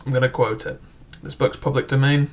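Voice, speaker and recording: A man's voice: mid-range voice, steady tone, fairly quick, darkish tone. A young man casually reviewing a book, chatty and loose, with frequent filler words and restarts.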